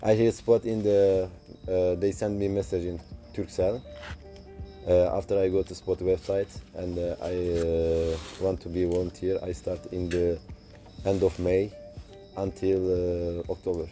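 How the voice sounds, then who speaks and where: Brief: man with Turkish accent, very dark low flat voice, halting English, faint music. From Turkey. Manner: halting, broken English with many uhs. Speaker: medical student volunteer. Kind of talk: casual interview to camera.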